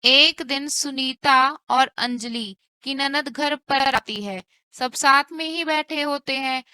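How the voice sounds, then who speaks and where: Woman telling a cartoon story, bright high voice, slow, really clean and dry sound. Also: no room sound. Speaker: narrator. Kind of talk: scripted cartoon story narration.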